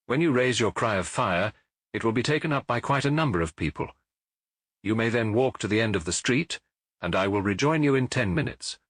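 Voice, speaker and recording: Male narrator as Victorian gentleman detective, English accent, self-assured, precise, animated intonation, slightly husky. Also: mid-range voice, fairly quick, no room sound, clean studio sound. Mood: commanding, precise. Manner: precise, authoritative, instructing. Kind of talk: audiobook character acting.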